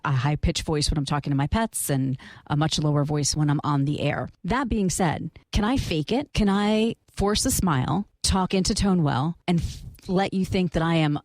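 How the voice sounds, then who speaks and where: A radio host asking brisk, direct interview questions. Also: medium pitch, darkish tone, dry recording, studio quality. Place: radio studio.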